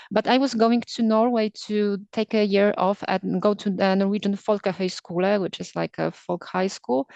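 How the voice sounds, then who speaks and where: A woman's voice: medium pitch, creaky, a little mellow, no echo. A woman, a literary translator, answering interview questions in a thoughtful, candid way with some fillers. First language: Polish.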